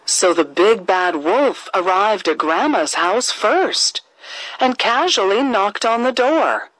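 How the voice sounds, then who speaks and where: Slow, very animated woman storyteller. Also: medium pitch, no room sound, low-quality recording. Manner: deliberate, expressive phrasing for young children. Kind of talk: scripted children's fairy tale narration.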